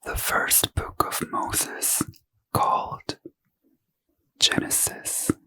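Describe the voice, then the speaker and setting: A man reading scripture in a slow, soft unvoiced ASMR whisper as a host. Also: dry recording, low-quality recording.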